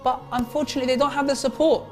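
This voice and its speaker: Eager man pitching a charity appeal. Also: steady tone, racing. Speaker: guest. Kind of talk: live charity broadcast chat. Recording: music in the background.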